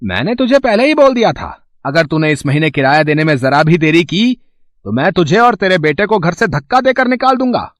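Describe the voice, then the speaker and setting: A man's voice: on the high side, sing-song, brisk, no echo, clean studio sound. A man playing a cruel, taunting village landlord in a moral story, harsh, scornful and menacing. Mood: threatening. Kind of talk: dramatised Hindi moral story.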